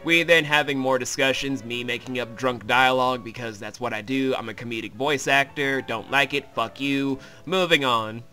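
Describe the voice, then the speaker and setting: A man's voice: mid-range voice, normal speed, hardly any pauses, pushed and bright. A man hosting a joking, crude and irreverent recap of a video game. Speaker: host, self-described comedic voice actor. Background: game music.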